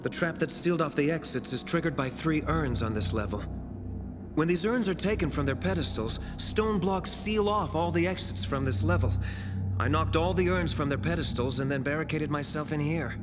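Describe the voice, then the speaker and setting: A man's voice: fairly high pitch, normal speed, over music, natural voice. A male actor playing a frightened priest in a video game, faltering and dramatic in his delivery. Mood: fearful. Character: frightened male priest hiding among the undead. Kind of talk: video game dialogue acting.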